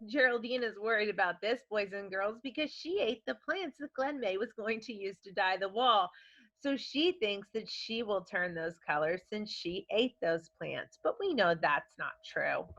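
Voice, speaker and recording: Bright, lively woman teaching young children. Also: mid-range voice, moderate pace. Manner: explanatory, addressing young children directly. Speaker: elementary teacher. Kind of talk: asking and explaining comprehension questions to children. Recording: dull lo-fi recording.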